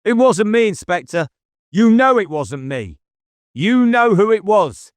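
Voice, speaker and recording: A man's voice: high-pitched, very animated, measured pace, dry recording, pitched above the performer's own voice. A man voicing a sly, menacing woodsman in an audiobook, taunting and intense, with a subtle Cornish burr. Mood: sly, accusing. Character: menacing, sly male woodland murder suspect with bow. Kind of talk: audiobook character dialogue.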